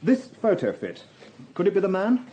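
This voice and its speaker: An actor playing a male police inspector in a radio drama, measured, questioning and dryly humorous, both in dialogue and in narration. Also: high-pitched, wildly swooping pitch, mellow, a little hiss or hum. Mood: firm. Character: male police inspector, probing, wry, unhurried. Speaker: detective and narrator.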